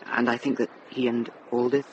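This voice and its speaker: A young actor playing an earnest, well-bred youth, grateful and eager, in a radio drama. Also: male voice, medium pitch, little pitch movement, moderate pace, highs cut off, noisy background, natural voice. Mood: shy eagerness. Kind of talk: British radio drama acting.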